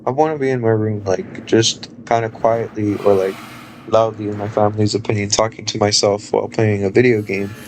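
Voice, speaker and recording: A man in his thirties chatting casually on a live stream, loose and rambling with lots of fillers and a low speaking voice. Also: steady tone, brisk, darkish tone, a little hiss or hum, poor audio. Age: 30s.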